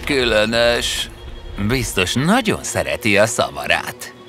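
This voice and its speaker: A man voicing several male characters in an animated fairy tale dub, with a lively, theatrical delivery. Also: fairly low pitch, faint music behind.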